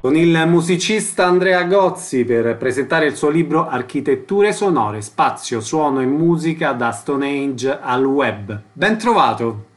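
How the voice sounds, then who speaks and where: Male radio interviewer, slightly high pitch, scripted links and short questions. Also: lively intonation, unhurried, few pauses, soft background music.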